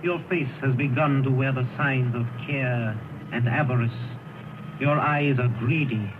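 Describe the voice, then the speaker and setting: A man's voice: mid-range voice, noisy background, lightly acted. A man playing a grave ghost on old radio. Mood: solemn. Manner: grave, measured and commanding. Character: solemn spirit of the past, male. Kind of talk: 1930s radio drama acting. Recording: tinny old radio broadcast with music.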